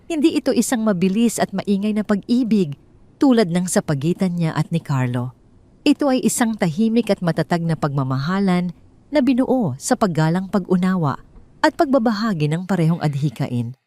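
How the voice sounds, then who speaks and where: A woman with a Filipino accent narrating a scripted dramatic story in measured, sentimental phrasing. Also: lowish voice, very animated, dark tone, dry recording.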